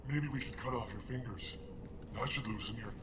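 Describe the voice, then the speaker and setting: A man's voice: mid-range voice, normal speed, very noisy, natural voice. A man voicing a menacing, suspicious alien thug in a video game, speaking in a threatening sneer. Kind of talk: video game villain acting. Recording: loud music over the voice.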